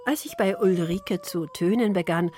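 A woman from Poland, Polish native, narrating with a Polish accent in a soft, slow, intimate read. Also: lowish voice. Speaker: radio author and narrator. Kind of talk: scripted reflective narration.

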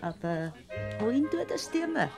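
An actress playing an older gossiping Bavarian peasant aunt, earthy and scolding, in a classic radio play. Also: female voice, fairly high pitch, very animated, normal speed, gravelly, over music.

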